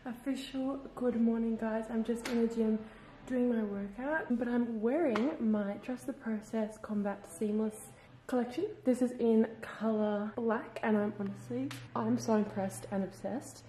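A woman's voice: fairly high pitch, fairly even intonation, unhurried, dark tone. A young woman in her twenties vlogging casually at home, gushing and chatty with lots of "like", filmed on a handheld camera. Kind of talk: casual vlog chat. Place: home gym and kitchen, indoors. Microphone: handheld camera at arm's length. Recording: echoey room with faint noise.

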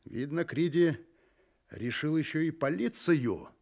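An actor playing a cool-headed, ironic private detective in a Russian radio drama, casual and quietly defiant. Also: male voice, on the high side, a little slow. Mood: coolly provoking.

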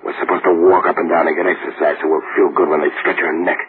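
A man's voice: sits low, flat delivery, fast, close to an everyday voice. A man playing a cocky, scheming condemned gangster with sardonic tough-guy slang and broken grammar, in old-time radio style. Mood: conspiratorial. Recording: muffled, hissy old radio recording.

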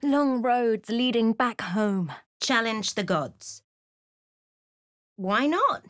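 A woman's voice: a little high, expressive, very slow, no room sound. Watchful adventuring woman, dry close recording. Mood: sharp, wry. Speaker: game character voice actor. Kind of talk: video game voice lines, narration and barks.

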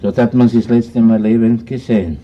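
An actor playing a shrewd, unhurried Viennese police inspector in a radio crime play, with an Austrian accent. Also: male voice, mid-range voice, fairly even intonation, lo-fi sound, lightly acted. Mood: calm, understanding. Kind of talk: naturalistic radio crime drama acting.

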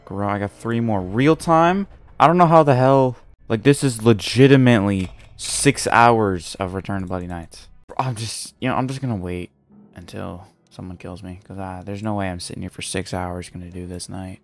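A man's voice: on the low side, normal speed, faint music behind. A young man giving casual, offhand live gaming commentary, muttering to himself and swearing. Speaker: game streamer.

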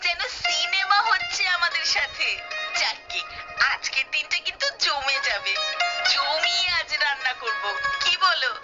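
Lady doing a gleeful village housewife, super high gushy voice, a bit mean, cartoon, dull audio. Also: expressive, a little slow. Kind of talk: cartoon voice acting. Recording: muffled audio with loud background music.

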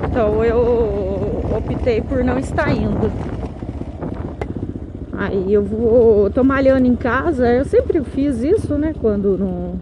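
A woman's voice: fairly high pitch, animated, slow pace, dull audio. Chatty Brazilian woman vlogging on a motorbike. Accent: Brazilian Portuguese. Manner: chatty, says "galera" often. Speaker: motovlogger. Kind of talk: casual chat to viewers while riding. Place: riding a motorcycle on town streets, outdoors. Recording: loud road and wind noise. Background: traffic and motorcycle engine.

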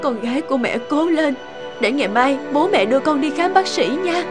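A caring cartoon mother, worried over her child. Her voice is high. Loud music plays behind her. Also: expressive, fairly quick. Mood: worried, soothing. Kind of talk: cartoon dubbing.

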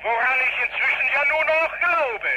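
An actor playing a determined priest piloting a rescue flight in a radio play, speaking over a radio link. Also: male voice, extremely high pitch, normal speed. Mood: calm, reflective. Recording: faint noise, 1980s radio drama.

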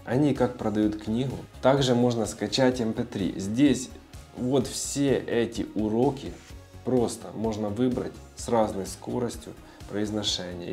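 The laid-back, deep-voiced man you'd find on a video blog, informally explaining his tips with a little music underneath. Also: mellow. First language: Russian. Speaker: video blogger sharing language-learning tips. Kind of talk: casual tutorial talk.

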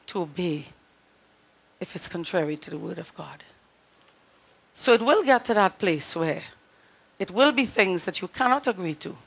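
A middle-aged woman pastor with a Trinidadian accent, a little hoarse, preaching earnestly to her congregation through a headset mic in a small studio. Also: medium pitch, a bit bright. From Trinidad and Tobago. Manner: earnest, emphatic, direct address to congregation. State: slightly hoarse. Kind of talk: sermon.

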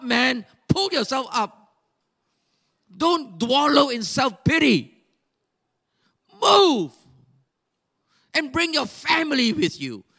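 An older man preaches in Malaysian-accented English, his voice sitting unusually high for a man. He exhorts his congregation, fires off rhetorical questions, and breaks into shouts when he urges them on. It is a live sermon delivered on a church stage into a handheld microphone. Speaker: pastor. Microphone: handheld mic.

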